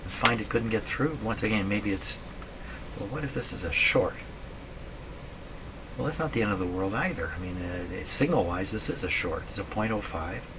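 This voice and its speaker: Man, deep voice, halting and musing, casual unscripted repair explanation, clearly noisy recording. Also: lively intonation. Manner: halting, musing, talks to himself with questions. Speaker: radio repair hobbyist, host. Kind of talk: casual unscripted workbench explanation, thinking aloud.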